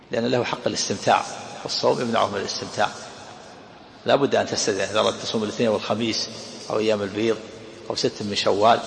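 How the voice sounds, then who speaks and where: Man, gravelly, quick, teaching hadith, echoey hall with faint noise. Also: lowish voice, fairly bright tone. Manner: explanatory, repeats phrases. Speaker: sheikh explaining hadith. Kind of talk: hadith commentary lesson.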